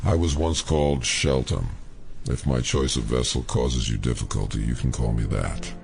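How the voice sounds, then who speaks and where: Extremely deep, gravelly ancient alien. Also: male voice. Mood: cold, menacing. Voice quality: gravelly, guttural. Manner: slow, portentous pronouncements. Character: ancient alien consciousness possessing a man, cold and menacing. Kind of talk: audio drama acting. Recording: music behind the voice.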